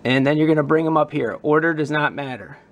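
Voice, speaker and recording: A man's voice: on the high side, fast talker. A man giving a casual hands-on tutorial as a hobbyist, unscripted and thinking aloud as he works. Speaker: hobbyist demonstrating a prop modification.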